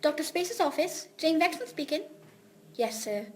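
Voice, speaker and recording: High-voiced polite receptionist played by a woman. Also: animated, normal speed. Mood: polite, businesslike. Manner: courteous, reassuring. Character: polite, helpful female office receptionist. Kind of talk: script reading of a drama. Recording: faint background noise.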